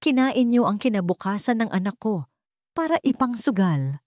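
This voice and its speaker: A woman's voice: medium pitch, sing-song, moderate pace, a little mellow, dry recording, studio quality, pitched above the performer's own voice, close to an everyday voice. A woman voicing a wronged wife who is bitter, defiant and finally done, in a dramatized audiobook. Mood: bitter, angry.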